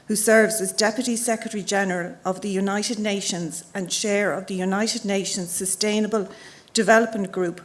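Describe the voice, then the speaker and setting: A woman reading a formal scripted citation in a measured, ceremonial way at a conferring. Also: medium pitch. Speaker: council member reading an honorary citation. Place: auditorium, before an audience.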